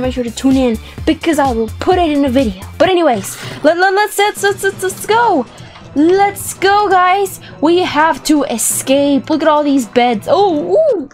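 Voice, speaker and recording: A young woman giving excited, playful gameplay commentary, animated and exclamatory, often repeating words for effect. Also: sits high. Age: young adult. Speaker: gaming video host. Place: small indoor room set up for streaming. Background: background music.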